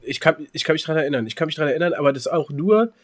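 A man's voice: on the high side, lively intonation, racing. A man co-hosting a podcast in casual comedic chat, with a teasing, bantering way of talking. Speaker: podcast co-host.

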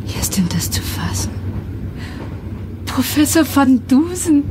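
A woman's voice: high voice, big pitch swings, a little slow. A genteel lady traveller over train noise. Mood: surprised. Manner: polite, effusive, genteel. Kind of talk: radio play acting. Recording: vehicle noise behind.

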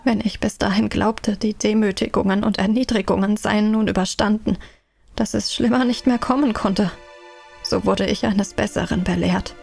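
A woman narrating an audio drama in first person, solemn and measured. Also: medium pitch, steady tone, creaky, a little mellow, breathy edge, soft background music, some chatter behind.

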